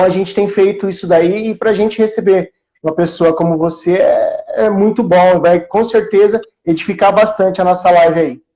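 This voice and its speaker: A man's voice: high voice, mellow, low-quality recording. A man with a São Paulo countryside Brazilian accent, chatting in a friendly, informal way as host of a live interview. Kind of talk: casual live interview chat. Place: remote video call livestream.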